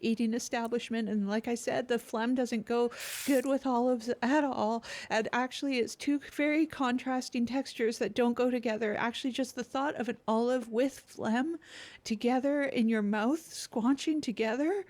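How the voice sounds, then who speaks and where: A woman's voice: fairly high pitch, steady tone, normal speed, a little mellow, natural voice. A woman playing a guileless, oversharing small-town radio host in an improvised comedy broadcast, earnest, repetitive and easily wounded. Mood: flustered, oversharing. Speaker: podcast co-host.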